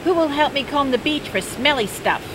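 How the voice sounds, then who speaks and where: Grandma reading as a determined little girl, bright and eager, storybook kid, outdoor noise in the background. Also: high-pitched, expressive, moderate pace, pitched above the performer's own voice.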